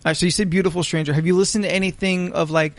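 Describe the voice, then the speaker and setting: A man co-hosting a podcast in casual chat, explaining his opinions in a measured way. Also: high-pitched, fairly even intonation, no echo. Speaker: podcast co-host.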